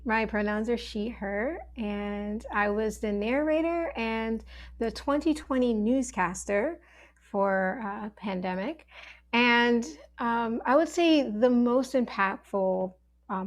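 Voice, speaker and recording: A woman giving a sincere, casual panel reflection, measured and earnest, pausing between phrases. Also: fairly high pitch. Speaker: actor.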